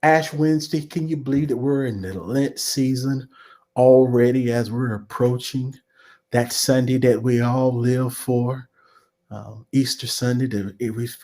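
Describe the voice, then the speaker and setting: Folksy pastor hosting a livestream. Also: male voice, medium pitch, measured pace, dark tone. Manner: folksy, repetitive, uses church-talk and country phrases. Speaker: pastor and host. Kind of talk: casual livestream talk show intro.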